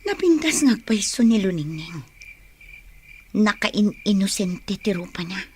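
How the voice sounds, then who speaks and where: A protective older sister with an Ilocano accent. Her medium-pitched voice carries worry and patient counsel in the style of a radio serial. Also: sing-song, unhurried, darkish tone, a little hiss or hum. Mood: reassuring. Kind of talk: Ilocano radio drama acting.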